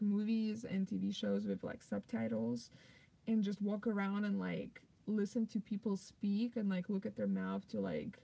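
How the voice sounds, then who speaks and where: A woman's voice: mid-range voice, gravelly, dark tone, poor audio. A young woman with a Russian accent, whose first language is Russian, chatting loosely on a live stream and answering viewer comments with many fillers. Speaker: vlogger hosting a live stream.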